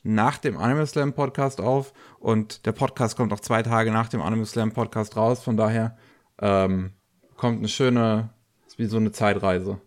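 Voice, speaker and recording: A man with a cold hosts a relaxed news podcast. His voice sits a bit low, and he talks casually with lots of fillers and restarts. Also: measured pace. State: has a cold. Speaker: podcast host.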